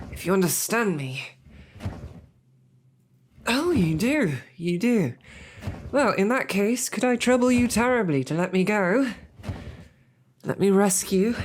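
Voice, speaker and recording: A woman's voice: lowish voice, a little mellow, a little hiss or hum. A woman voicing a snarky, formal captured royal knight in an audio roleplay. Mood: wry, coaxing. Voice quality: formal, wordy and wry. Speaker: audio drama performer.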